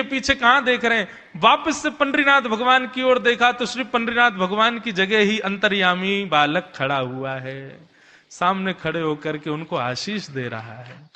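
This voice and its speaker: A man with a high, bright voice tells a devotional tale in an expressive way. He leans on repeated phrases for emphasis, and his voice rings with long hall reverb. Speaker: devotional storyteller.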